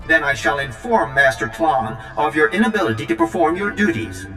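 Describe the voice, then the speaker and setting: Fussy, high-pitched protocol droid. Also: male voice, big pitch swings, a little fast. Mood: prim, officious. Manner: formal, prim, stilted. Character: fussy, officious male protocol droid servant. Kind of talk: video game voice acting. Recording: echoey, music behind.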